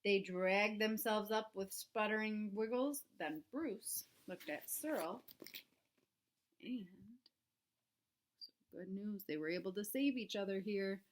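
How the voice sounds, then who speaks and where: A woman reading a picture book aloud for young children, expressive and dramatic, with chatty asides to her listeners. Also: mid-range voice, takes it slowly. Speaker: children's read-aloud presenter. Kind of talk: animated storybook read-aloud for young children.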